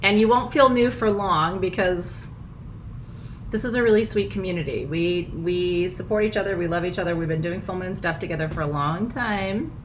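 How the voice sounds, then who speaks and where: A middle-aged woman with a froggy, hoarse voice, hosting a live online ritual from her small house in casual, bubbly chat, laughing often. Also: on the low side, normal speed, slight background noise, poor audio. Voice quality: froggy, husky. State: hoarse, nearly lost voice. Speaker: spiritual teacher hosting a live full moon ritual.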